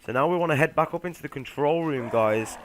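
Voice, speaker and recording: A man's voice: a little high, fast talker, slight background noise. A man hosting a gaming video, giving a laid-back walkthrough voice-over and speaking straight to his viewers. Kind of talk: casual game walkthrough voice-over.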